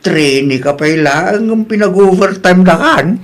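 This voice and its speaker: An older father figure with an Ilocano accent, acted by a man. His slightly high voice swings expressively as he questions sympathetically in a comedy-drama. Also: expressive, unhurried. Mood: concerned. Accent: Filipino, Ilocano.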